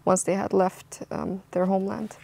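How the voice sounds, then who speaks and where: A woman's voice: medium pitch, little pitch movement, normal speed, vocal fry, warm tone, no echo. A young woman from Costa Rica, an anthropologist answering interview questions in a thoughtful way, with frequent ums and restarts. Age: young adult.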